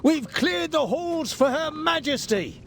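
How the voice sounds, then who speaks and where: An actor playing a hearty, brave knight in a video game, declaiming heroically. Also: male voice, extremely high pitch, animated, slow, gravelly, a little hiss or hum, heavily acted. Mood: triumphant, loyal. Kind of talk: video game character acting. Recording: loud game music behind.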